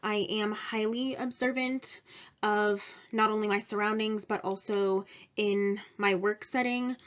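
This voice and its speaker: A young woman giving an informal presentation about herself in a matter-of-fact, self-assured way. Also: mid-range voice. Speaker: presenter of personal test results, insurance claims adjuster. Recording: dull lo-fi recording.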